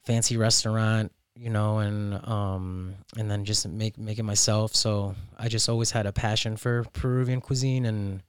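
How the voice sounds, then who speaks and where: Man in his thirties, low pitch, clean resonant voice, casual interview guest, informal and halting. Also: steady tone, smooth, no room sound, studio quality. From Miami, United States, born in Peru. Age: 30s. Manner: informal, halting, thinks aloud with frequent "you know". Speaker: interview guest, restaurant owner.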